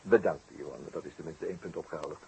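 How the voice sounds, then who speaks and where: An actor playing a courteous, shrewd detective with a hesitant, deliberately questioning way of talking in a 1960s radio play. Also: male voice, extremely low pitch, vocal fry, heavy background noise. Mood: probing.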